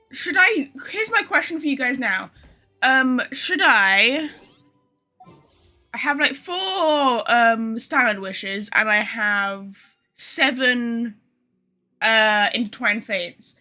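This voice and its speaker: Bright, high-voiced woman streaming casually. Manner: sweary, self-deprecating, informal. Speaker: game streamer. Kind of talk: casual live gameplay chat, reading some lines aloud. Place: bedroom.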